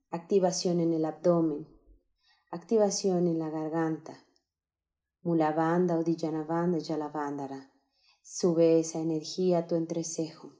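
Woman, meditation guide, slightly low pitch, very slow pacing, soothing, calm, spaced-out phrases.

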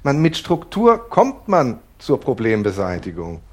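A man playing a rigid, plan-obsessed husband in a naturalistic audio drama, speaking in a halting, pedantic, insistent way. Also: mid-range voice, wildly swooping pitch. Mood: pedantic, aggrieved. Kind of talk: naturalistic improvised-sounding audio drama dialogue.

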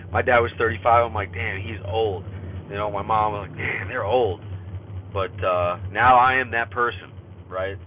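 Stuffy man rambling while driving. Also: on the low side. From California. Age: 30s. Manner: rambling, many fillers and restarts. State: stuffy from a cold. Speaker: podcast host. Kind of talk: casual spoken journal. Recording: phone-like line, faint car noise. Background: car road noise.

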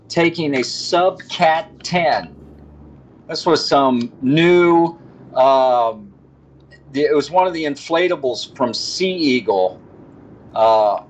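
A man's voice: medium pitch, highs cut off. A man calling in from a car as a podcast guest, chatting casually in a jokey, wandering way. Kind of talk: casual rambling storytelling and chat.

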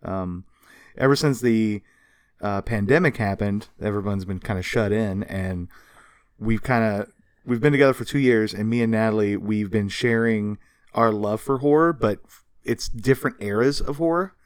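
A man's voice: lowish voice, normal speed, clean tone, no room sound, studio quality. A man in his thirties co-hosting a casual podcast, talking like an enthusiastic fan. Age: 30s. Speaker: podcast co-host.

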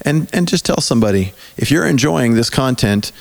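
A man hosting a podcast in casual chat, his voice hoarse and mostly gone, talking loosely and restarting his sentences. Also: medium pitch, expressive, a little fast. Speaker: podcast host.

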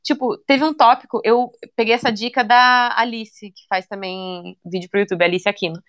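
Young woman, casual interview chat, relaxed, lots of fillers. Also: on the high side, normal speed. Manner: chatty, relaxed, many fillers like "né" and "sabe".

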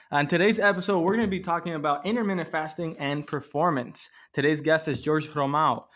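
A man hosting a podcast, reading a guest bio and then asking relaxed, friendly questions. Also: fairly high pitch. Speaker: podcast host. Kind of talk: casual interview hosting.

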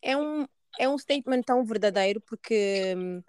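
A woman's voice: fairly high pitch, expressive, normal speed, darkish tone, smooth, no room sound, studio quality. A woman host from Portugal with a European Portuguese accent, chatting informally and dropping in English words. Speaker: podcast host. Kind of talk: casual conversation.

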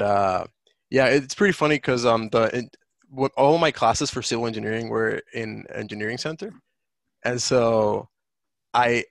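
Gravelly-voiced young Dominican student. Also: male voice, medium pitch, dry recording. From Dominican Republic. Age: young adult. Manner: casual, many fillers like um and like. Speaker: student guest. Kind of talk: online panel Q&A.

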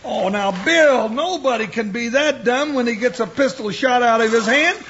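A boisterous, heavyset American deputy in a vintage radio Western. His voice is remarkably high, folksy and full of bluster. The sound is dull, with some hiss. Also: moderate pace, fairly bright tone. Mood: jovial. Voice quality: high-pitched. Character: fat, boisterous deputy sidekick to a Western marshal. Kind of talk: 1950s radio Western acting. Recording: muffled, noisy old radio recording.

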